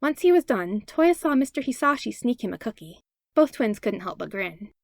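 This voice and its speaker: A woman narrates a story expressively, her pitch moving around a lot. There is noticeable fry in her voice, and the sound is dry and close. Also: very animated, moderate pace, no room sound. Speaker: podfic narrator.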